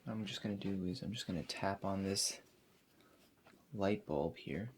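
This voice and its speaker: A man doing an ASMR roleplay as a spa attendant, addressing a client in a hesitant, rambling, unhurried manner. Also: sits low, slight background noise.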